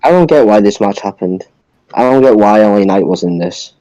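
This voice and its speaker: A man's voice: fairly low pitch, lively intonation, brisk, mellow, dry recording, highs cut off. A man co-hosting a podcast in casual panel chat, speaking in blunt, short remarks. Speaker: podcast co-host.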